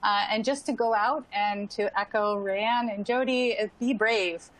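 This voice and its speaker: A woman's voice: mid-range voice, steady tone, a little slow, poor audio. A woman speaking as a panelist in an online discussion, casual and sprinkled with "like" and "um". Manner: casual, frequent "like" and "um".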